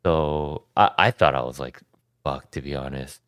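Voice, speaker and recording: Young american guy, super deep smooth voice, rambly laid-back chat, dry close sound. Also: moderate pace, dry recording. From United States. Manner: relaxed, rambling, frequent restarts and fillers. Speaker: podcast guest. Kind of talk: casual conversation.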